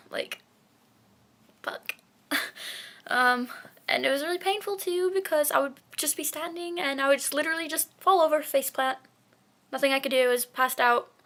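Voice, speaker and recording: Bright-voiced young woman who stutters. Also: high-pitched, normal speed, pauses often. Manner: stutters and repeats words, many fillers, frank and profane. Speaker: vlogger. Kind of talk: casual personal vlog.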